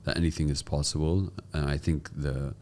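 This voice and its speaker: A man with an extremely low voice hosts an interview show. His tone is dark and relaxed, and he talks in a casual, conversational way as he puts questions and reflections to his guest. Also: brisk. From born in the United States, raised in the UAE from 1992. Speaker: podcast host and interviewer.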